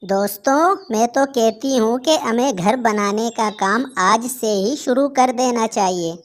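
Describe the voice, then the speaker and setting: A woman plays a friendly little bird in a children's cartoon. The voice is female and fairly high, with an earnest, polite way of advising. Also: measured pace, lightly acted.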